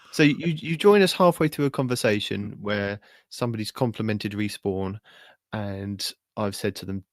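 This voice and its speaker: A man's voice: on the low side, unhurried, long pauses, dry recording. A man co-hosting a gaming podcast in casual, conversational chat. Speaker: podcast co-host.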